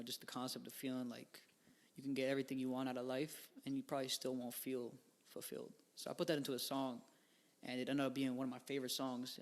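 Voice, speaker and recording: A young man in his twenties sits in as a podcast guest and talks loosely, stringing thoughts together with fillers and slang. His voice sits at an ordinary middle pitch. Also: creaky. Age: 20s. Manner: rambling, filler-heavy, laid-back slang. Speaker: guest musician. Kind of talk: casual podcast interview.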